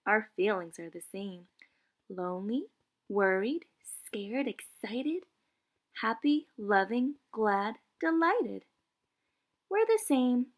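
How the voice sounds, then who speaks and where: A woman preschool teacher reading a children's picture book aloud in short, deliberate phrases for young listeners. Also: fairly high pitch, animated, phone-quality sound.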